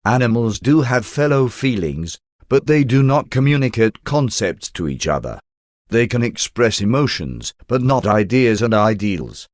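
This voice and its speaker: A man reading a spiritual text as a narrator, in a measured, formal way. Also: mid-range voice, very animated, no echo, clean studio sound. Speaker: audiobook narrator.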